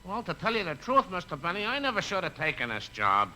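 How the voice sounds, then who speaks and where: Casting: exasperated gardener, high, swooping voice, blunt working-man grammar, noisy vintage radio comedy. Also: huge pitch swings, quick pace, bright, forceful tone, full character voice. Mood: exasperated complaining. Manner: plain-spoken, complaining, ungrammatical. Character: exasperated gardener grumbling to his employer. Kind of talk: 1950s radio comedy acting. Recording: noisy old radio recording with clicks.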